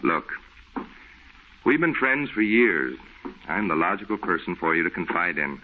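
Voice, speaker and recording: A man's voice: deep voice, big pitch swings, a little slow, slight background noise. An American actor playing a level-headed, skeptical male friend in a folksy, insistent manner, in old radio horror drama style. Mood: coaxing. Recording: muffled old-time radio recording.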